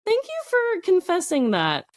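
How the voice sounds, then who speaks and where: A woman's voice: extremely high pitch, huge pitch swings, measured pace, no echo. A woman co-hosting a comedic podcast, chatting casually in a giggly, playfully teasing way. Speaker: podcast co-host.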